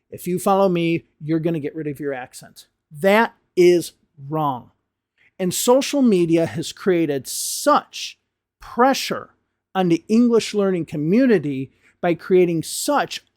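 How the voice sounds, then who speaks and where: Fired-up high-voiced American man. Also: a little mellow, no echo, studio quality. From United States. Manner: frank, impassioned rant with emphatic stresses. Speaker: English teacher. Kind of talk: talking-head video lesson.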